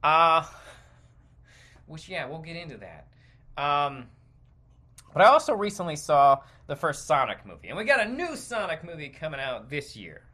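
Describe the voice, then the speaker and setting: A man's voice: on the high side, very animated, moderate pace, long pauses, pushed and bright. A man hosting a podcast, giving casual, rambling, opinionated solo commentary in a conversational way. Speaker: podcast host.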